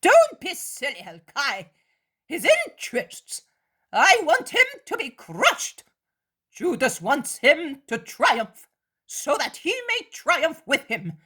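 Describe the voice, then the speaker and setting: A contemptuous man snaps and sneers in a high voice that swings up and down, as a woman reads his lines. His scorn comes slow and pointed. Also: huge pitch swings, full character voice. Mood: scornful. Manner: scornful, shouting. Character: scornful male council member.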